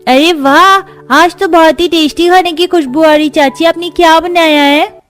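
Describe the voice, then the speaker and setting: A woman's voice: very high voice, steady tone, measured pace, fairly bright tone, soft background music. A woman voicing a hungry, demanding young relative in a cartoon moral story. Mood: eager, demanding.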